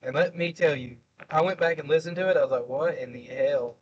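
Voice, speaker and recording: A man's voice: fairly high pitch, fairly quick, husky, muffled recording. A young man chatting casually and joking with passengers while driving, hosting a storm chasing livestream. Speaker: storm chaser host. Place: inside a car.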